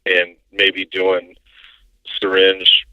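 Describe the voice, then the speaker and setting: A man's voice: low voice, drawn-out words, clean tone, dry recording. Easygoing man on a dull remote line. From Iowa. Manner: relaxed, easygoing, chatty. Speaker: podcast co-host. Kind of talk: casual chat over a remote line.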